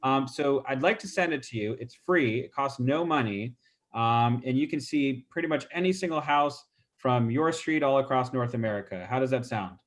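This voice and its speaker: A real estate agent with a stuffy nose, casually walking through a call script with lots of fillers. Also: male voice, medium pitch, normal speed. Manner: casual, chatty, many fillers. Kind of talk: role-play training demo.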